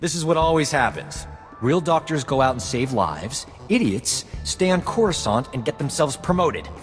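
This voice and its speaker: An actor playing a cocky, flirtatious, boastful rogue doctor in a sci-fi video game, glib and smooth-talking. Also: male voice, fairly high pitch, big pitch swings, normal speed, in a large hall, faint music behind. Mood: indignant, envious. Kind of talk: video game dialogue acting.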